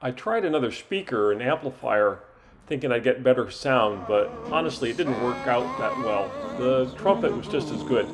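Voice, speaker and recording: A man's voice: medium pitch, animated, moderate pace, soft background music. An older man giving a casual, explanatory hobby demonstration in plain narration. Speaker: hobbyist presenter demonstrating a restored radio.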